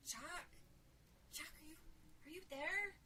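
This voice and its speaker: A woman voicing a frightened teenage girl trying to stay calm in a horror story, tremulous and urgent. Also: on the high side, quick pace, distant mic, a little hiss or hum, natural voice. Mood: fearful hush.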